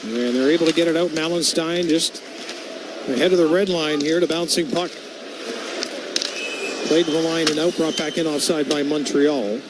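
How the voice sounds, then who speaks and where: High-pitched North American hockey play-by-play man. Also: fairly even intonation, moderate pace, pauses often. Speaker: play-by-play commentator. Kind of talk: live radio hockey play-by-play. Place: broadcast booth at a hockey arena. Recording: noisy live arena broadcast booth.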